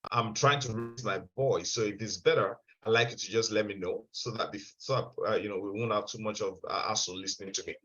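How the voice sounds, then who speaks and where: Halting man teaching over a call. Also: on the low side, pushed and bright. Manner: halting, repeats words, checks if heard. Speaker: webinar presenter. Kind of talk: online investment seminar. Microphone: video call. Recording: dull lo-fi video call audio.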